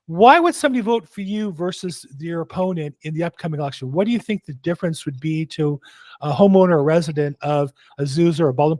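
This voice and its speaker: A man's voice: fairly high pitch, no room sound. A man hosting a casual interview, chatty and joking, with lots of fillers. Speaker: host.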